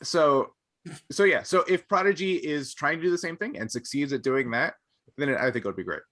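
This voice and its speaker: A man's voice: on the high side, expressive, brisk, sharp tone. A man co-hosting a podcast, explaining his thoughts in a rambling, thoughtful way during a casual discussion. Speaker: podcast co-host.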